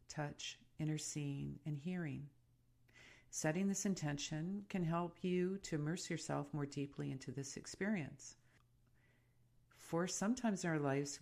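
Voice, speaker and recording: A woman guiding a meditation in a soothing, unhurried and gently instructive way. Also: low-pitched, vocal fry. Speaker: meditation guide and podcast host. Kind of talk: guided meditation narration.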